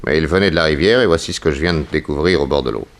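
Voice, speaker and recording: A private detective in an old 1960s radio serial. His voice is low and authoritative. He speaks in a measured, probing way, suspicious and sure of himself. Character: shrewd, suspicious male detective, composed and authoritative. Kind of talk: 1960s French radio mystery serial acting.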